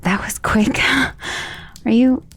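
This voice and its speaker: A woman playing a centuries-old, tender and protective vampire in an intimate ASMR romance roleplay, speaking softly and earnestly, often in a whisper. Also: on the high side, very slow, full character voice. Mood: tender, hopeful. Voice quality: soft, breathy, often dropping to a whisper.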